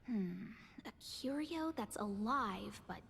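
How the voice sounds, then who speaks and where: An actress playing a composed, formal and thoughtful station officer in a video game, speaking politely. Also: female voice, a little high, animated, creaky, fairly bright tone, slight background noise, full character voice. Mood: composed, serious. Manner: polite, measured. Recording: music under the voice.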